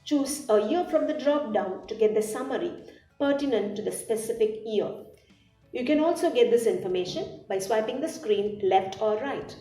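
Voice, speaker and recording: A woman delivers scripted tutorial narration with a soft-toned, mellow voice. She sounds a little away from the microphone in a noticeably roomy space, with music playing behind her. The reading is measured and instructional. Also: fairly high pitch, expressive. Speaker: app demo narrator. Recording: roomy echo, distant mic, music behind.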